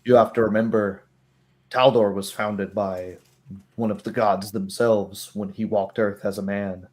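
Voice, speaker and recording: A man's voice: fairly low pitch, steady tone, unhurried, darkish tone. A man narrating a tabletop roleplaying game as its game master.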